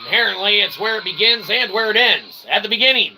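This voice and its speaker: A man hosting a comedy show in a rambling, mock-earnest monologue, halting and trailing off between phrases. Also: high-pitched, expressive, music in the background, low-quality recording. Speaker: comedy show host and author.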